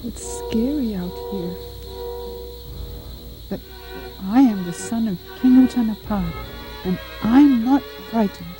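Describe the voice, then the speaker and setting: A woman's voice: on the high side, takes it slowly, long pauses, music in the background, rough recording. A woman voicing a proud, hurt young boy prince with great determination in a puppet show. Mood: brave, determined. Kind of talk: puppet show dramatic acting.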